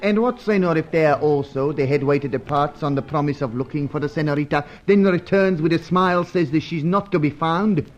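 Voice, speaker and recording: An actor playing a deferential but suspicious Brazilian manservant in a radio serial, speaking in formal, careful, foreign-sounding phrases. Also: male voice, on the high side, brisk, few pauses, natural voice. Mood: wry, respectful. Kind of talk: old-time radio serial acting. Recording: dull vintage radio recording.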